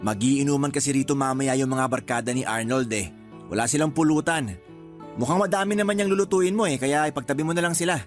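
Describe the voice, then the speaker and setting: A man's voice: medium pitch, fast talker, faint music behind, lightly acted. A man acting in a Tagalog radio drama as a freeloading older brother and father who argues defensively. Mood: casual, wheedling. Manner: wheedling, touchy, argumentative.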